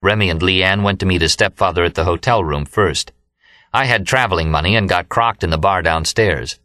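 A man's voice: low voice, fairly quick, bright, forceful tone, no echo. An American man reading a first-person novel as an audiobook narrator, close to the microphone. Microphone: close studio microphone, dry.

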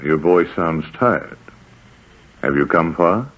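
An actor playing an impatient, haughty Roman census official in a 1940s radio drama, curt and officious. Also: male voice, low-pitched, lively intonation, takes it slowly, fairly bright tone, heavily acted. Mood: curt, softening. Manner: curt, officious, world-weary. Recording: dull, muffled 1940s radio recording.

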